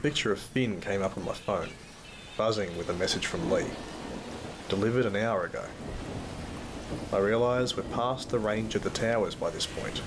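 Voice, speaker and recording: A low male voice tells a bleak story as an actor playing a weary field worker. The delivery is sombre and literary, in audio drama style. Heavy noise of rain and vehicles runs behind it. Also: moderate pace, long pauses, natural voice. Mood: wistful. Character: weary young male field worker narrating a bleak eco-horror journey. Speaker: narrator and lead character. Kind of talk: first-person audio drama narration and dialogue.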